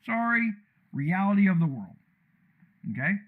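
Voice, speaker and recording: A man with a deep, commanding voice that sits somewhat high rants bluntly and loudly, wandering from point to point. He sounds like a casual live-streamer talking off the cuff. The sound is thin and tinny, like a phone line. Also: big pitch swings, measured pace. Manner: blunt, loud, rambling. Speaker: streamer tuning his microphone. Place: untreated room with echo and a computer fan.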